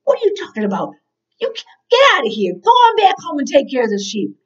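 A woman voicing a furious older brother, scolding in a hurried, scornful way. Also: high-pitched, expressive. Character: angry scolding older brother of a young shepherd. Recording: dull, distant-mic recording.

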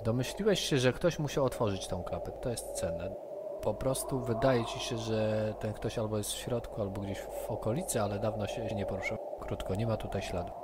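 Male game master over noisy line. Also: fairly low pitch. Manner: descriptive, measured narration. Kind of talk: narrating a tabletop game. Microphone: computer headset or desk mic, video call. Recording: noisy online session audio.

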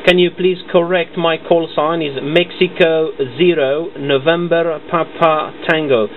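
A man's voice: on the high side, fairly even intonation, measured pace. A man working as an amateur radio operator, making a procedural contact and spelling out callsigns in phonetic code. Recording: narrow-band radio room recording with static noise.

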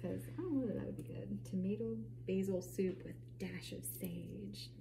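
A woman with a medium-pitched voice talks casually through her home cooking, adding chatty explanations as she goes. She is recorded on a camera mic a short distance away in a kitchen, with clear noise behind her. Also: normal speed. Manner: chatty, explanatory asides while working. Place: home kitchen, indoors at night.

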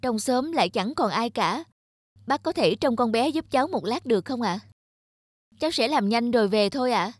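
A woman playing a worried, polite young working mother in an animated cartoon. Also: a little high, moderate pace, husky, no echo. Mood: worried, pleading. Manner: polite, deferential. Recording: music under the voice.

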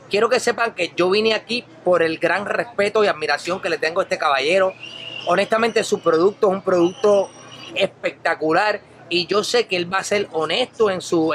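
A man's voice: high-pitched, slight background noise. A middle-aged man hosting a vlog in a small coffee shop, talking casually and emphatically close to the camera. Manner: animated, emphatic, gesturing. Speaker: vlog host. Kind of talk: casual chat. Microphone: camera held close at arm's length.

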